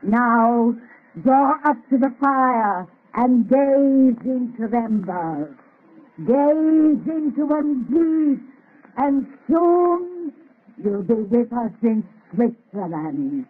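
A woman's voice: a little high, animated, breathy edge, exaggerated voice. Ancient cackling witch, tinny old radio. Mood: gleefully spooky. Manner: folksy dialect, drawn-out, cackling. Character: cackling hundred-eleven-year-old witch of Salem, gleefully macabre storyteller. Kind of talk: 1930s radio horror acting.

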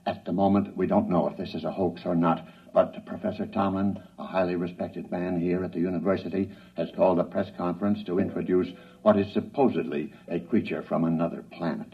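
A grave live radio reporter, deep and husky, announcing formally and deliberately. The vintage radio drama sound is muffled and a little distant. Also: male voice, fairly even intonation. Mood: grave, reporting. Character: serious male radio newsman reporting live on the scene. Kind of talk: 1950s radio news bulletin acting.

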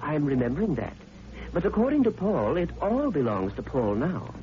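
A cynical newsroom hack in a 1940s radio drama. His fairly high voice bounces around as he needles and insinuates. The recording is dull and old. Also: very animated, moderate pace, darkish tone. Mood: needling, suspicious. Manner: wry, insinuating. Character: needling, cynical male newspaper rewrite man. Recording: muffled vintage radio recording.

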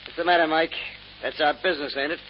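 A man plays a wisecracking petty crook of old radio drama, slangy and sardonic, in a fairly high voice. The recording is dull and lightly hissy. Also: steady tone, fast talker, lightly acted. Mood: wry, bantering. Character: wisecracking small-time slot-machine racketeer, one of two brothers.

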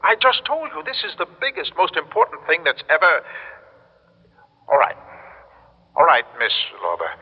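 Through a dull, reverberant old radio recording comes a desperate man from the future. His pitch rides on the high side and swoops about as he stammers and pleads. It is urgent vintage radio drama. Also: wildly swooping pitch, moderate pace, pauses often, husky, exaggerated voice. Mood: urgent, excited. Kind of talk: classic radio drama acting.

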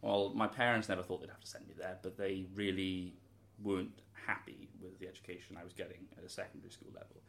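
Young guy in an interview, really low voice, pretty monotone, earnest and hedgy. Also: fast, hardly any pauses, slight background noise. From Wales. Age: young adult. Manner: earnest, thoughtful, with frequent hedges and restarts. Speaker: interviewee, student. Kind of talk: interview answers.

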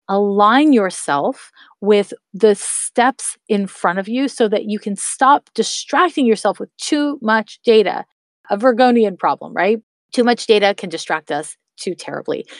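Woman in her thirties, podcast host, encouraging, casual spiritual talk, mid pitch, dry close recording. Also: no echo. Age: 30s. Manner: warm, encouraging, addresses listeners as "my loves".

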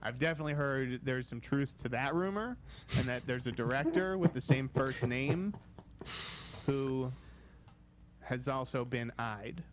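A man's voice: medium pitch, lively intonation, measured pace, heavy background noise. A man in an interview clip, coyly hedging about a rumor with many hesitant restarts. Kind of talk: casual interview chat.

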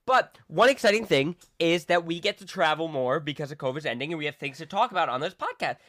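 A man's voice: a little high, expressive, fast, fairly bright tone, dry recording, studio quality. A young man from Rhode Island co-hosting a podcast, chatting casually and introducing the show. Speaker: podcast co-host. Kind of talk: casual chat.

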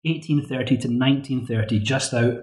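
A man's voice: mid-range voice, fairly even intonation, brisk, a little mellow. A man hosting an interview podcast, polite and formal as he introduces his guest. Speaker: podcast host. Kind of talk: interview host. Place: university office. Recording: roomy echo.

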